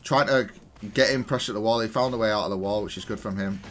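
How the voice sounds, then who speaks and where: A man's voice: on the low side, fast talker. A man giving casual, matter-of-fact commentary as he analyses a video game match, explaining each move. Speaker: gaming content creator.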